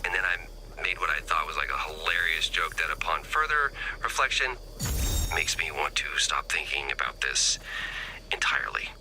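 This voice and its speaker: A man's voice: a bass voice, animated, normal speed, bright, forceful tone, a little hiss or hum, full character voice, poor audio. A man voicing a talkative, self-deprecating, goofy scientist in a video game, rambling comically. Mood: befuddled. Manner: rambling, comic, swings from whispered asides to exclamations. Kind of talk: scripted game character dialogue.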